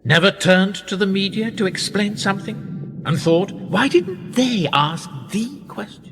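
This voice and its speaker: Male actor as pompous, manipulative British establishment man for radio drama; measured condescension, very lively pitch, reverberant sound. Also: music in the background. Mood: lecturing. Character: smug, wealthy upper-class male power broker, condescending and manipulative. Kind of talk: radio play acting. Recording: reverberant hall-like echo.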